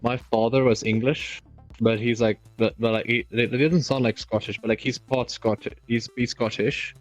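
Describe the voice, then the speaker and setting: A man from South Africa chatting casually as co-streamer, talking in halting bursts with many restarts. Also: medium pitch, fairly even intonation, no room sound, lo-fi sound, soft background music. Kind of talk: casual chat.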